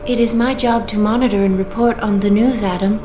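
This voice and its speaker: An actress voicing a calm female AI newscaster in a video game, smooth and composed. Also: mid-range voice, fairly quick, noisy background, lightly acted. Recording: roomy game audio with music.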